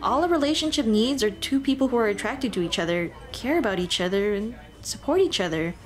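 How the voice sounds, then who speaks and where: A woman playing a shy, earnest Dullahan schoolteacher in an ASMR roleplay, speaking softly and expressively. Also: on the high side, expressive, brisk, soft background music, close to an everyday voice. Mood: earnest, warm. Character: shy, earnest female Dullahan teacher, headless monster girl on a date.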